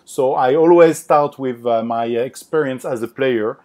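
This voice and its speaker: Male guest, French accent, mid pitch, hesitant and searching for words. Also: moderate pace, darkish tone. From France. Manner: hesitant, many fillers and restarts. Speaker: game designer guest. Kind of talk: podcast interview.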